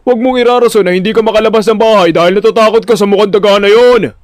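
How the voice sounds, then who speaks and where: A man voicing a gruff, threatening loan shark boss in a Filipino audio drama, barking his demands. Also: sits high, very fast, dry recording, studio quality, pitched above the performer's own voice, lightly acted. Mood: angry, menacing.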